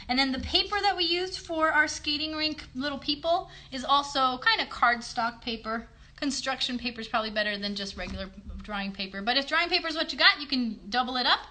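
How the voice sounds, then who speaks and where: A woman in her thirties with a fairly high, bright voice. She talks to children as a show host, reassuring them and offering plenty of encouragement. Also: slight background noise. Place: bedroom. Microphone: phone a short distance away.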